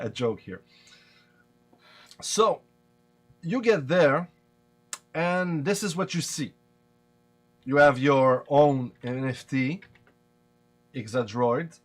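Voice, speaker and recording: Quebec french guy streaming a tutorial, slow and halting, lots of uhs, faint music behind. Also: medium pitch. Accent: Québécois French. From Quebec, Canada. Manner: hesitant, many fillers and restarts. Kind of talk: casual live-stream tutorial.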